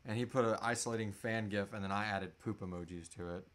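A man's voice: on the low side, steady tone, fast talker, fairly bright tone. A man in his thirties co-hosting a casual live question-and-answer chat, loose and joking, swearing casually, recorded on a device in a small room. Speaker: podcast co-host answering listener questions.

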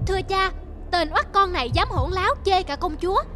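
A woman's voice: very high voice, a little fast, pushed and bright, soft background music. A woman voicing a vain, haughty young princess in a fairy-tale cartoon, self-admiring and imperious. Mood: indignant, complaining.

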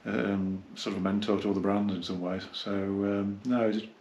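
A man gives a casual, unscripted hi-fi review, rambling and halting as he reminisces. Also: lowish voice, flat delivery, a little mellow, dull audio. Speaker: hi-fi dealer and reviewer. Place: small carpeted indoor room, seated.

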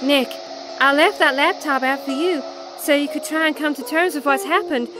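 A woman playing a calm, grave supernatural expert posing as a doctor in a radio drama, measured and gently apologetic. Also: sits high, over music, close to an everyday voice.

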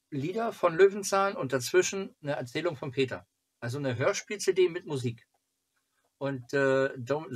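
A man in his forties hosts a casual vlog from home. His voice sits at an average pitch with a husky, unpolished edge. He talks loosely to his viewers, rambling and thinking aloud as he goes. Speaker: host of a children's TV fan club channel. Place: small cluttered room at home, indoors. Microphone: camera or webcam at arm's length.

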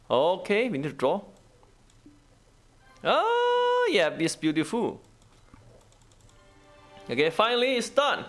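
A man who is not a native English speaker, giving a casual gameplay walkthrough in halting, repetitive short phrases. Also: a little high, fairly bright tone. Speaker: gaming guide host.

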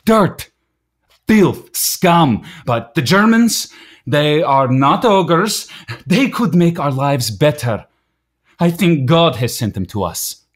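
An actor playing a proud, desperate immigrant night watchman in a radio play, pleading earnestly and haltingly. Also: on the high side, sing-song, exaggerated voice. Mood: bitter, agitated.

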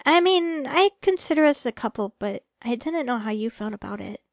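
A woman playing a sweet, shy young girlfriend in a romantic ASMR roleplay, speaking softly and hesitantly with affection. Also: high-pitched, animated, moderate pace, dry recording, clean studio sound. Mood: hesitant, earnest. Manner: soft, hesitant, affectionate, stammering when flustered.

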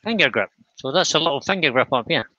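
Bright, sharp man demonstrating woodturning. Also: fairly high pitch, expressive, fast talker, no room sound, dull audio. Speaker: host, woodturner demonstrating live. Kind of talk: casual chat while demonstrating.

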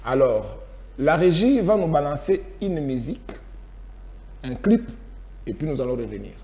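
A man's voice: on the high side, sing-song, normal speed. Congolese man telling a story, chattering crowd behind. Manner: storytelling, repetitive, mixing French with Lingala. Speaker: host. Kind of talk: casual studio talk show chat.

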